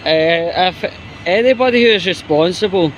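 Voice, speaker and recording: A man giving casual opinion commentary in a halting, rambling, disapproving way. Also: sits high, slight background noise. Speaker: commentary YouTuber.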